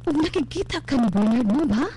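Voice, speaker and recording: A woman's voice: high-pitched, expressive, brisk, warm tone, slight background noise. A woman playing a gossipy, loyal housemaid with a Cebuano accent, chatty and singsong, in a radio drama. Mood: curious, chatty. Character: gossipy, loyal housemaid confidante of young mistress.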